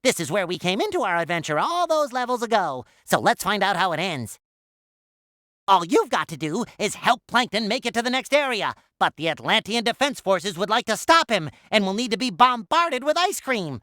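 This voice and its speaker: A very high, bright and nasal cartoon sea sponge, bubbling with childlike cheer. The delivery is squeaky and exuberant, swinging widely in pitch with playful earnestness, as lines for a video game. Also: male voice, sing-song, normal speed, exaggerated voice. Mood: cheerful narrating.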